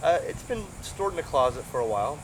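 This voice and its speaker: A man's voice: medium pitch, big pitch swings, fast talker. Casual American man, noisy outdoor recording. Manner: rambling, informal, enthusiastic. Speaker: ham radio hobbyist and video host. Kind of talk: casual unscripted gear review vlog. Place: outdoors on grass beside a pond under shade trees. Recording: outdoor camera audio with clear ambient noise.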